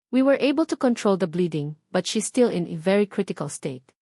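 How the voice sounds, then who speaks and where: A serious Filipino hospital doctor, acted by a woman narrator, speaking in formal English. The delivery is calm, measured and professional, as in an audiobook. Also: medium pitch, dry recording, studio quality, close to an everyday voice. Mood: calm, grave.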